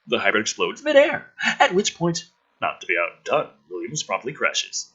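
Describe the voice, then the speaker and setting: Man, raspy, intense bright delivery, theatrical script narration, sarcastic, exasperated, profane asides. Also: medium pitch, huge pitch swings, normal speed, dull audio. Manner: theatrical delivery with sudden emphasis. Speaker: host reading and mocking a film script. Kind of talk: dramatic script reading with sarcastic running commentary.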